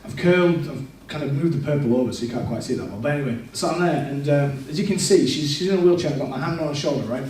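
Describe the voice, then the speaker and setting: A man's voice: a little high, quick pace, hardly any pauses, darkish tone. A young man giving a candid, self-deprecating personal testimony in a church, with frequent restarts. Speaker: church speaker. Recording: echoey church hall, mic far off.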